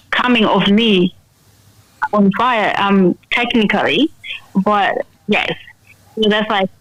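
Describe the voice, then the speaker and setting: A woman's voice: mid-range voice, dry recording, lo-fi sound. Woman interviewee mixing languages, dry sound. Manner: mixes English with another language. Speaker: singer-songwriter being interviewed. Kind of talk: casual radio interview.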